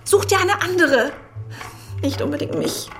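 Radio drama: eager, lovelorn woman, very high-pitched, earnest and open, faint music underneath. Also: expressive, measured pace, fairly bright tone, exaggerated voice. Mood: pleading, hurt. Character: eager, devoted woman in unrequited love. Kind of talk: German radio play dialogue.

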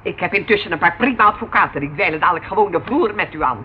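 A woman's voice: lowish voice, animated, fast talker, a little hiss or hum, lightly acted. An actress playing a proud, shady woman under arrest, dramatic and emphatic, in a Dutch radio play on a dull old recording. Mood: haughty, threatening.

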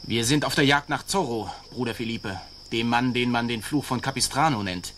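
A man acting a gruff army sergeant in a radio adventure drama. He talks brusquely at a middling pitch, suspicious and threatening, with faint night insects in the background. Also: moderate pace, a bit bright, a little hiss or hum, close to an everyday voice. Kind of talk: German radio play acting. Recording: faint night crickets behind. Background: faint night insect ambience.

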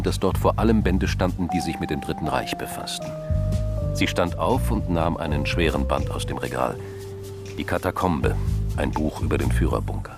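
A man narrating a scripted crime drama. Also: extremely low pitch.

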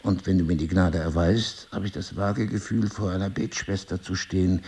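An actor playing an intense, probing husband in an intimate audio drama, speaking in an eloquent, insistent way. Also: male voice, low-pitched, fairly even intonation, moderate pace, mellow, husky. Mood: pressing, reproachful. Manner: insistent, questioning, theatrically eloquent. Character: intense, probing crime-writer husband with memory loss. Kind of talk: intimate two-person audio drama acting.

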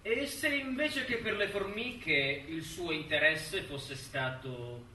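Bright, sharp-voiced, needling male interrogator. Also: a little high, lively intonation, slow pace, full character voice. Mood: sardonic, insistent. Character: insistent, sarcastic male court examiner questioning a defendant. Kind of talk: theatrical courtroom interrogation. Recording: roomy, distant stage recording, faint noise.

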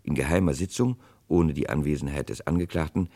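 An actor narrating a 1960s radio crime play as a grave chronicler, with dramatic, deliberate delivery. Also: male voice, extremely low pitch, warm tone. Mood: grave, suspenseful. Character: grave male chronicler of a historic murder case.

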